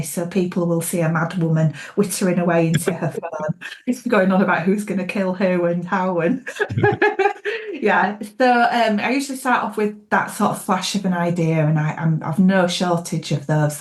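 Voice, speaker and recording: A woman with a Yorkshire accent chats warmly as an interview guest, her voice sitting a little low. She talks with plenty of ums and laughs easily. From Yorkshire, England. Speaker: author guest. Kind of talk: casual interview chat.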